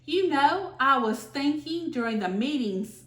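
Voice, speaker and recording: Earnest young classmate in a storybook, read by a woman, high, deliberate, echoey room. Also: lively intonation. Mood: musing. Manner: earnest, explanatory storybook dialogue. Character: thoughtful, explaining young schoolchild, Grace's classmate.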